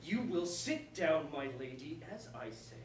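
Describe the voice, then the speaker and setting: A woman's voice: low-pitched, big pitch swings. Woman playing a mocking, courtly villain lord, swooping and distant. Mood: commanding. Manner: theatrical, taunting, drawn-out verse delivery. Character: menacing male soldier lord, mocking, oily and courtly. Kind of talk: staged reading of verse comedy. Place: roomy indoor space, far from the mic. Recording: distant echoey room with faint noise.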